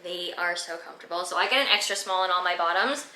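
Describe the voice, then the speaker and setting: A young woman in her mid-twenties, from Canada, runs through viewer questions in a relaxed vlog chat. Her voice is bright and forceful, and she leans on lots of casual filler words. The room around her sounds echoey. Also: on the low side, fast. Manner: chatty, many "like" fillers. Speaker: fitness vlogger.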